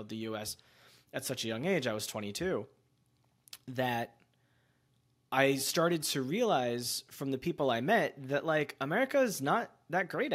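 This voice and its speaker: Guy hosting a podcast, thinking out loud, lots of likes and you knows, mid-pitched voice. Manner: reflective, musing, with loose fillers like "like" and "you know". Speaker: podcast host. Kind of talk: casual conversational chat.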